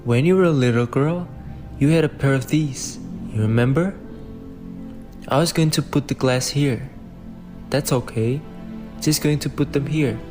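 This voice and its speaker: A man playing a kind uncle who speaks gently and reassuringly. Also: medium pitch, a little slow, pauses often, over music, lightly acted. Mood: coaxing.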